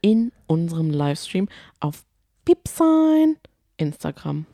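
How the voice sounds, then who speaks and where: A woman's voice: deep voice, sing-song, slow, creaky, dark tone, no echo, clean studio sound. A woman co-hosting a podcast, talking casually about reality TV shows. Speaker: podcast co-host.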